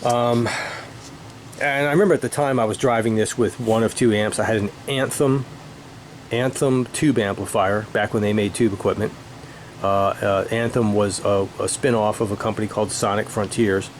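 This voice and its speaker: A middle-aged man reminiscing casually as an enthusiast, speaking in halting short bursts. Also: fairly low pitch. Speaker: audio hobbyist presenting own video. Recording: steady room noise, air conditioning hum.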